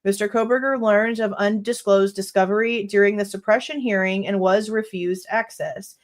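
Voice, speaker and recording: Stuffed-up young female host, mid-pitched, reads legal filings with exasperated commentary. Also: steady tone, moderate pace. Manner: exasperated, blunt asides with mild swearing. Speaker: true crime livestream host. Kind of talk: reading a legal brief aloud with commentary.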